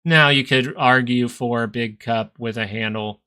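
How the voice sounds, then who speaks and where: A man's voice: medium pitch, unhurried, pushed and bright, clean tone. A man in his thirties hosting a live stock market stream, chatting casually between chart analysis and answers to viewer questions. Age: 30s. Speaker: stock market livestream host.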